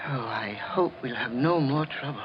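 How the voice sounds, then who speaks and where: A man's voice: medium pitch, moderate pace, close to an everyday voice. An actor playing an uneasy, deferential male servant, hesitant and obsequious, in 1950s radio horror. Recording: muffled, noisy vintage radio recording.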